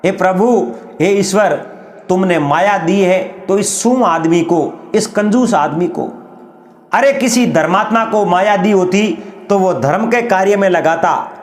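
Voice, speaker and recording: A man narrating a folk story in an unhurried, drawn-out way, often calling his listeners friends. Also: high-pitched, echoey room.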